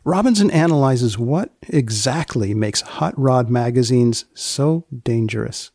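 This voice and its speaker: Deadpan male podcast host, creaky voice. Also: mid-range voice, no echo, studio quality. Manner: deadpan, mock-serious, deliberately wordy. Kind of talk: wry scripted commentary with banter.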